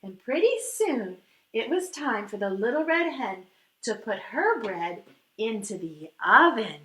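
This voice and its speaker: A woman with a high, bright voice tells a children's story in a singsong, exaggerated way. She takes her time, and the room around her is echoey. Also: very animated, measured pace. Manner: exaggerated, singsong, prompts listeners to join in. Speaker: preschool teacher and storyteller. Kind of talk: animated storytelling for preschoolers.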